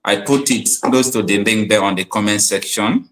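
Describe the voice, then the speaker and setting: A man's voice: lowish voice, normal speed, a bit bright. A man hosting an online call-in chat, speaking earnestly and often repeating himself. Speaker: online show host.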